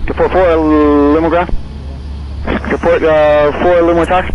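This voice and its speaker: A man's voice: a little high, little pitch movement, unhurried, lo-fi sound. A man working as an air traffic controller, giving clipped, procedural radio calls. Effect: aviation radio transmission.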